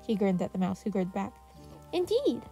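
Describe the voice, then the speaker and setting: Mellow woman narrating a story. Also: medium pitch, flat delivery, fast, vocal fry. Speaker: narrator, fan fiction reader. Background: soft music.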